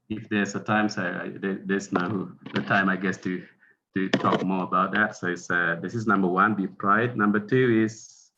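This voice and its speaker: A man's voice: on the low side, pushed and bright, highs cut off, a little hiss or hum. A man with an Indonesian accent, a Balinese native speaker, giving a hesitant webinar talk full of fillers and restarts. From Bali, Indonesia. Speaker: guest speaker, aircraft technical representative. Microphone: video call.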